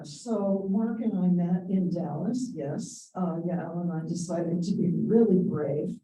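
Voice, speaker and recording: Raspy woman on a panel, dark low voice, roomy and a bit far from the mic. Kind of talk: personal recollection at a panel. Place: event room. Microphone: handheld microphone. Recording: roomy, distant event recording.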